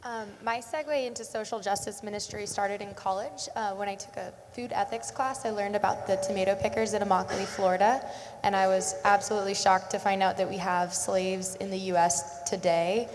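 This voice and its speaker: A young woman speaks plainly and fairly quietly as a panelist. Her pitch is in the middle range, and there is faint ambient noise under the long reverb of a large hall. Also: steady tone, brisk.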